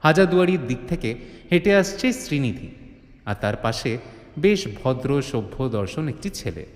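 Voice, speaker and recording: A man's voice: medium pitch, very animated, normal speed. A man narrating a scripted horror-comedy story in a theatrical, suspenseful style. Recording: reverberant, faint ambient noise.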